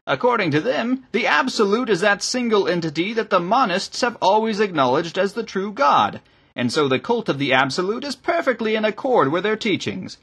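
A man's voice: high-pitched, huge pitch swings, unhurried, sharp tone, slightly rough, full character voice. A man voicing an irate, scornful bishop in an audiobook, snarling and barking orders and sarcastic questions. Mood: scornful tirade. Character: irate, bullying male bishop, loud and scornful.

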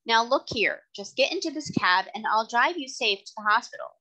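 A woman's voice: a little high, lively intonation, fairly quick, pushed and bright, pitched above the performer's own voice, low-quality recording. A woman voicing a kindly, firm male cab driver in a storybook, plain-spoken and respectful. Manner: plain-spoken, respectful working-class address.

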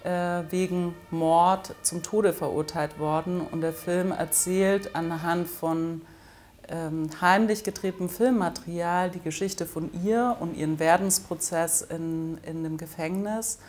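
A middle-aged woman from Germany speaks in a slightly low voice. She answers interview questions as a filmmaker, thoughtful and hesitant at times. The sound is from a camera close by, indoors. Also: darkish tone. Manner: thoughtful, with frequent hesitation fillers. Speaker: film director. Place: indoors in front of an orange wall.

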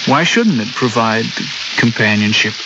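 A man's voice: medium pitch, animated, unhurried, background noise, close to an everyday voice. An actor playing a thoughtful, dreamy spaceship crewman, earnest and reflective, in a radio drama. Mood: wondering. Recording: dull radio recording, loud music behind.

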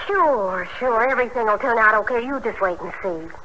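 An actress playing a brash, slangy married friend in an old radio drama, emphatic and cynical about men. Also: female voice, on the high side, lively intonation, fairly quick, sharp tone. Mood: reassuring. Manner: emphatic, slangy, advice-giving. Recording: faintly noisy old radio recording.

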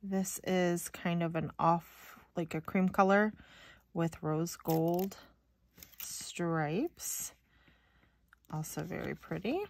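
A woman's voice: on the low side, fairly even intonation, takes it slowly, long pauses, a little mellow, no echo. A woman chatting casually and thinking aloud as she hosts an unboxing video, sounding slightly stuffy-nosed. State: slightly stuffy, sniffly nose. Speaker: craft video host. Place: indoors at a craft table.

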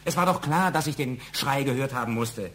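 A man acting in a German audio drama as a fearful, indignant family man under suspicion, halting and repeating his words. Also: medium pitch, a bit bright, a little hiss or hum, natural voice. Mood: anguished confession. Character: flustered, fearful, indignant male murder suspect and family man.

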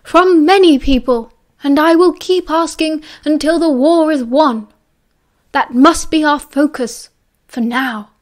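A woman voicing an old male headmaster wizard, calm and measured, speaking slowly and softly, often near a whisper. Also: high-pitched. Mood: firm, loud. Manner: slow, soft, half-whispered delivery.

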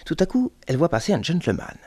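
A man's voice: a little high, big pitch swings, fast, darkish tone, husky, no echo. A man narrating a French radio drama in a storytelling manner.

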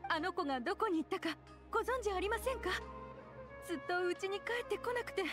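An actress playing an anxious, gentle mother who speaks politely and softly in an anime game. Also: very high-pitched, expressive, fast, over music. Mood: worried. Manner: soft-spoken, polite, worried. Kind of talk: Japanese anime game voice acting.

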